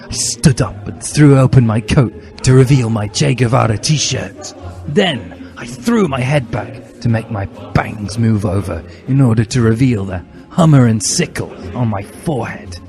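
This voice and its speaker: A man's voice: mid-range voice, animated, measured pace, a little mellow. A man giving a dramatic, mock-serious reading of comic fan fiction with theatrical emphasis. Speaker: reader. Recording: music behind the voice.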